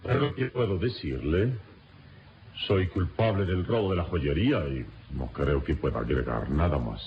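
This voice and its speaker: An actor playing a calm, resigned adventurer hero in a radio serial, speaking in a measured, solemn, formal way. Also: male voice, sits low. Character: calm, resigned turbaned adventurer hero, confessing under interrogation. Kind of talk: old radio serial acting. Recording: dull, noisy old radio recording.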